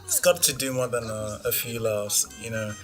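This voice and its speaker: A man's voice: lowish voice, fairly even intonation, moderate pace, soft background music. A man from Nigeria hosting a casual film review, blunt and skeptical, with wry asides. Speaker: film critic host.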